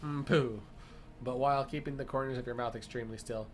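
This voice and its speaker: A man's voice: medium pitch, fairly even intonation, slight background noise. A young man chatting casually as a streamer, playful and jokey, who grew up speaking Spanish. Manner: playful, jokey, laughs a lot, quick bursts. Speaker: streamer host. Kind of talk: casual chat.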